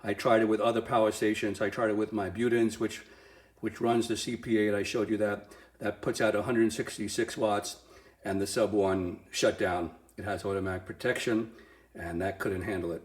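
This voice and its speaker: A man giving a casual, unscripted product review as he demonstrates gear, halting and restarting his phrases. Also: lowish voice, flat delivery. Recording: roomy, echoing sound.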